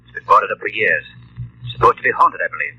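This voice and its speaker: A man playing a cool, wary mystery crime fighter in a 1940s radio drama, measured and dryly skeptical. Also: on the low side, animated, pushed and bright, breathy edge, heavily acted. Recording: muffled old radio recording.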